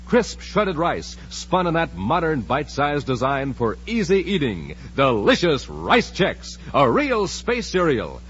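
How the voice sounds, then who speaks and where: A man announcing in a 1950s radio style, emphatic and theatrical, like a serial narrator and pitchman. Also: huge pitch swings, measured pace, few pauses, fairly bright tone, faint music behind. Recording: noisy, muffled old radio recording.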